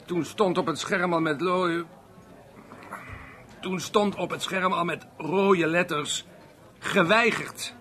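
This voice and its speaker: An actor playing a drunk, aggrieved unemployed man rambling haltingly at a bar in a radio play. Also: sits high, fairly even intonation, sharp tone, heavily acted. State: drunk (acted). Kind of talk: Dutch radio play monologue and dialogue.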